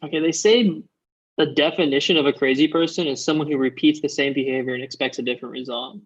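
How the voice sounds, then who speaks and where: An actor as a husky, skeptical male friend. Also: a little high, steady tone, fast talker, muffled recording. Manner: questioning, wry. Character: skeptical, blunt, nitpicking friend of the son. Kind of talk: comedy script table read.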